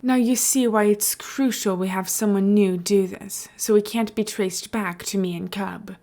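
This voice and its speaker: Woman as would-be villain mayor, male, smooth-talking, businesslike, persuasive, storybook dialogue. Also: mid-range voice, fairly even intonation, a little slow, warm tone, natural voice. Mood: calculating.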